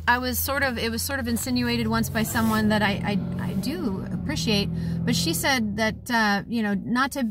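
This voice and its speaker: A woman's voice: high voice, a bit bright, a little hiss or hum. A woman in her forties talking to camera from a parked car, tired and heartfelt, rambling with many restarts, recorded on a phone held at arm's length. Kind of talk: casual talk to camera.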